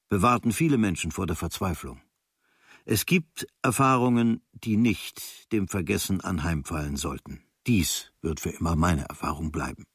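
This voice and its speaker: Reflective male writer, low-pitched. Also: slightly rough, no echo, studio quality. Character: reflective, grateful male writer looking back on travels. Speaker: reader of a writer's travel diary preface. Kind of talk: literary first-person reading of a memoir.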